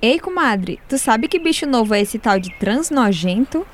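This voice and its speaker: A woman's voice: on the high side, animated, moderate pace, soft background music, lightly acted. A woman playing a naive, curious farmer woman in a radio drama, with a Northeastern Brazilian accent and a folksy, exclamatory way of talking. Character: naive, curious rural farmer woman named Maria, chatty.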